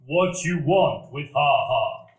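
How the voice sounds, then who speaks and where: An actor playing a gruff, giant bootlegger who never laughs, speaking in curt, slow, broken phrases in a live stage radio play. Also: male voice, a little high, expressive, lo-fi sound. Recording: echoey room, live audience.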